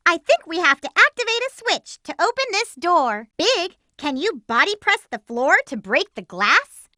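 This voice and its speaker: A woman's voice: high voice, sharp tone, no echo, studio quality, full character voice. A woman voicing a plucky, bossy young female cartoon hedgehog in a video game, with a peppy, emphatic, girlish delivery. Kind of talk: animated game voice lines.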